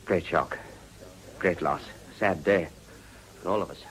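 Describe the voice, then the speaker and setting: Deep-voiced English chief whip. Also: male voice, a little slow, fairly bright tone, slight background noise, low-quality recording. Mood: solemn. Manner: clipped, genial, hesitant. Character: genial, hurried parliamentary chief whip. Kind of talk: radio drama acting.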